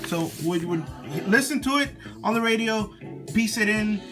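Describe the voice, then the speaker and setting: A man's voice: sits high, lively intonation, normal speed. A middle-aged man giving a casual show-and-tell in an informal way, thinking aloud as he goes. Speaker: vlog host showing a personal tape collection. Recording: music playing behind the voice.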